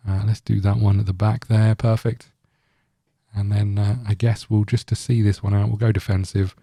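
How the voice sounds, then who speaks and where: A man with a very dark, relaxed voice on the low side talks through a video game. He rambles casually, thinking aloud as he hosts. The sound is dry and close. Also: normal speed, creaky, dry recording. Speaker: gaming video host. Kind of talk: casual let's-play commentary.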